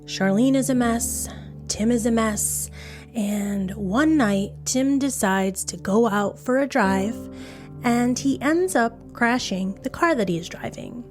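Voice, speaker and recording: A woman's voice: fairly high pitch, slow pace, a little mellow. Woman telling true crime over music. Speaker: true crime podcast host. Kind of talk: conversational true crime storytelling. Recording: background music under voice.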